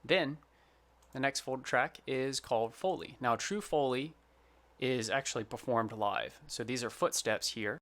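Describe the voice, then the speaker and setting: A man's voice: mid-range voice, unhurried, a bit bright, slight background noise. A man who works as a sound designer, giving an informal, plain-spoken tutorial. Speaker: sound designer presenting his project.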